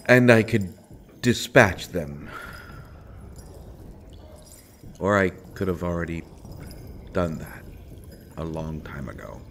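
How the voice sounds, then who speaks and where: Man playing a deep, slow, sinister assassin. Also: a little hiss or hum, exaggerated voice. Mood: sardonic, taunting. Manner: brooding, deliberate, dryly mocking, dramatic pauses. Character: imprisoned sinister male assassin, menacing, sardonic, unhurried. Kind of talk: dramatic roleplay audio acting.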